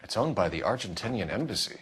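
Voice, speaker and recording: A man's voice: on the low side, very animated, fast talker, fairly bright tone, slight background noise. An actor playing a serious, formal, by-the-book male police detective in a video game cutscene. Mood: businesslike.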